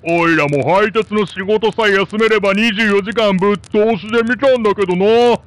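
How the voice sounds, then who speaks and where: A man's voice: sits high, moderate pace, close to an everyday voice. A man playing a blunt, colloquial working-class family man in a nostalgic radio drama. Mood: folksy, cheerful. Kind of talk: Japanese radio drama acting.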